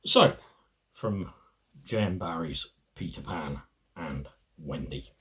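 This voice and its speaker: A middle-aged man reading a children's storybook aloud, with expressive, deliberate phrasing and pauses. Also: low voice. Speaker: amateur reader.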